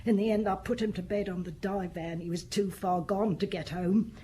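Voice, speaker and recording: An actress playing a blunt, sociable middle-aged widow who keeps a small bar, talking plainly and colloquially in a radio play. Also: female voice, medium pitch, fairly even intonation, moderate pace, warm tone, slight background noise, natural voice. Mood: reminiscing. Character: fat, sociable, blunt older widowed woman bar keeper. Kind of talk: radio drama acting.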